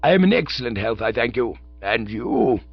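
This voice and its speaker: A stately, long-winded old lord with a gravelly, raised voice and a lively lilt, as a man puts him on. He talks in grand, formal phrases. The recording sounds dull and dry. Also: medium pitch, moderate pace, no room sound, soft background music, exaggerated voice. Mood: courteous. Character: pompous elderly portly male lord.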